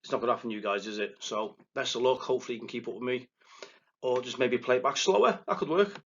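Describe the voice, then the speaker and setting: A man with a thick Liverpool accent giving a recorded lecture as a psychotherapist, excitable and quick, often stumbling and restarting his words. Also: mid-range voice, husky, dull audio. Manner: fast, excitable delivery with verbal stumbles and restarts. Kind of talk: recorded lecture to counselling students. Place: presenting alone without a live audience.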